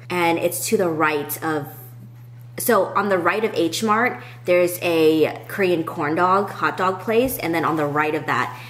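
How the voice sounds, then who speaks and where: A woman's voice: deep voice, a bit bright, a little hiss or hum. A young adult woman with an American accent chatting casually to camera as a vlogger, rambling and informal. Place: at a table in an open-plan house.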